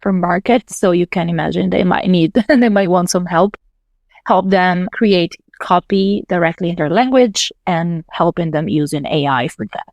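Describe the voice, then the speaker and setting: An Italian-born woman speaks with a fairly low, steady voice, working through her points step by step with the odd filler. The sound is dry and close, with no room around it. She comes across as a podcast guest in easy conversation. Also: moderate pace, dry recording. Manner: thoughtful, explains step by step with fillers. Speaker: podcast guest, localization manager. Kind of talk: casual interview talk.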